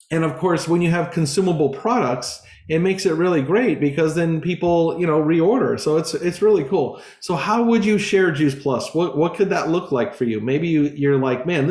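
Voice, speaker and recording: A man's voice: a little high, normal speed, a little mellow, echoey room. A man in his forties speaking as a business coach in an earnest, informal sales talk, full of fillers and restarts. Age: 40s. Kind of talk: casual sales presentation.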